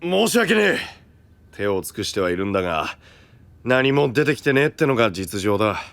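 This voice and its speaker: Gruff anime castle lord, gravelly, swinging intonation, hospitable, dry sound. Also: male voice, mid-range voice, very animated, moderate pace, fairly bright tone, no echo. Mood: apologetic. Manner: rough masculine speech, hospitable. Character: gruff, kind-hearted rough-spoken young castle lord. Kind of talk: Japanese anime audio drama acting.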